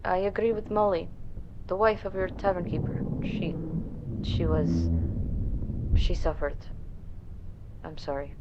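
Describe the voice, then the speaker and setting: A woman playing a cool, pragmatic, formal companion in a podcast drama, speaking in a measured way. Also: animated. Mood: grave. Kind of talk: dramatized narrative podcast acting. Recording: dull muffled audio with rumbling noise.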